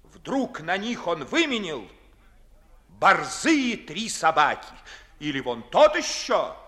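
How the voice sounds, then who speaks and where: An actor playing a proud, indignant young gentleman, declaiming verse with biting rhetoric in 1950s classical stage style. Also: high-pitched, very animated, takes it slowly, heavily acted. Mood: indignant, scornful.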